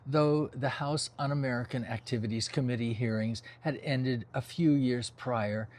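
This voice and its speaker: An older man reads from his own novel at a mid-range pitch. His delivery is measured and reflective, in the literary manner of a memoir, with the odd informal aside. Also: a little hiss or hum. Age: over 60.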